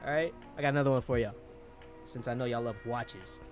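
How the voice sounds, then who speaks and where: A man's voice: medium pitch, fairly quick, soft background music. A man hosting a casual group podcast, playful and laughing often as he eggs the others on. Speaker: podcast host.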